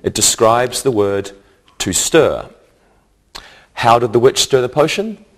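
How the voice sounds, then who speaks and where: A man teaching an English grammar lesson in a scripted, deliberate, instructional way, enunciating carefully. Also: medium pitch, expressive.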